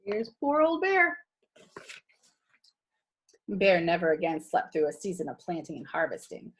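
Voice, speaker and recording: A woman reading a picture book aloud to children in a teacherly, expressive way, pausing to ask them questions. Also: on the low side, slightly rough, low-quality recording. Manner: animated, teacherly. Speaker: read-aloud storyteller for children.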